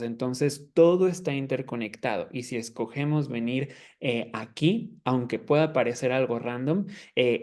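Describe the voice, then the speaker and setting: A man speaking as a guest expert, explaining in a conversational way, haltingly, repeating words as he searches for the next one. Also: mid-range voice. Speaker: guest astrologer.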